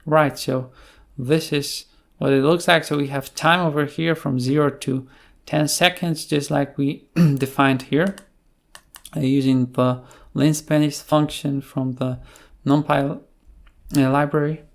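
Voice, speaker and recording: A man presenting an unscripted screencast coding tutorial in a hesitant, halting way, with pauses between phrases. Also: on the high side, steady tone, creaky.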